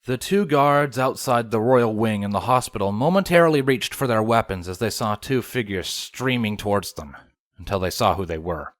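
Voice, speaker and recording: A man's voice: on the low side, animated, normal speed, no echo, clean studio sound. A man giving a scripted narration of a story as its reader. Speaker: fan fiction narrator and channel host.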